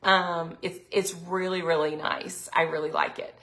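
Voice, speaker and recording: A middle-aged woman, chatting casually on a live sales stream, her throat a little raspy from allergies. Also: fairly low pitch, flat delivery, measured pace, pushed and bright, a little hiss or hum. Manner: chatty, lots of ums, reads viewer comments aloud. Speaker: product consultant hosting a live sales stream.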